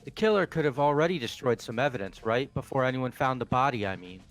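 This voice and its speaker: A man's voice: mid-range voice, animated, fast talker, natural voice. A man voicing a hot-headed, foul-mouthed young tough guy in a video game, blunt and brash, with music behind. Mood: blunt, suspicious. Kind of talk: fan voice acting of a visual novel game.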